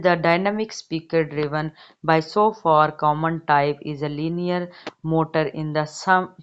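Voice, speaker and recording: A woman reading an encyclopedia article aloud, haltingly, stumbling over words and repeating them. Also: low-pitched. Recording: dull, lo-fi recording.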